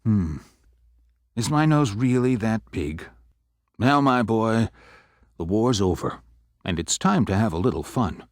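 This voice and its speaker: Male actor as an avuncular senior partner, low and lively, dry studio sound. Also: a little slow, pauses often, no echo. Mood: jovial. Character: older male lawyer boss, jovial and teasing. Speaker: law firm senior partner. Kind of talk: audio drama acting.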